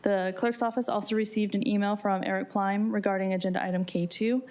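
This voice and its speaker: A woman's voice: medium pitch, fairly even intonation, brisk, a little mellow. A woman working as a city clerk, reading formal scripted announcements in an official, procedural way. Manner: procedural, official read-aloud delivery.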